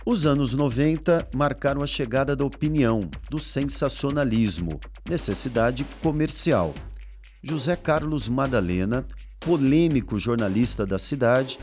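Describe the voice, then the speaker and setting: A Brazilian man delivers scripted documentary narration in a mid-pitched voice. Faint music plays behind him as he reads. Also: darkish tone.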